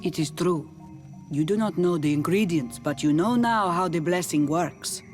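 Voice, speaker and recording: A woman playing a proud, warlike clan leader in a video game, with solemn, deliberate, formal delivery. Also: lowish voice, darkish tone, soft background music, natural voice. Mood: solemn, apologetic. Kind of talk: video game dialogue acting.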